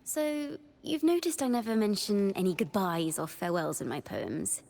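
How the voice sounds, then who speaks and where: A young horned bard tells her tales in a game. Her voice sits at a medium pitch, lyrical and expressive, cheerful yet touched with wistfulness. A long, hall-like reverb hangs on her words. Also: normal speed, creaky, a little hiss or hum. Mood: playful, warm. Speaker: game character voice. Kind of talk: scripted character monologue.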